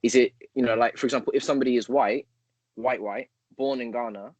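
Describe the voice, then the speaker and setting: A man's voice: mid-range voice, steady tone, a little fast, darkish tone, no echo, lo-fi sound. A man co-hosting a podcast, thinking aloud in a rambling way, full of fillers and hypothetical examples. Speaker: podcast co-host. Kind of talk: casual conversational interview.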